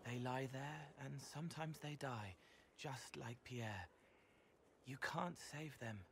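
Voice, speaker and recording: A man voicing a gentle, otherworldly young male spirit in a video game, speaking in fragmented, poetic, halting phrases. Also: mid-range voice, a little hiss or hum. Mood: quietly earnest. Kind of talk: dramatic video game voice acting.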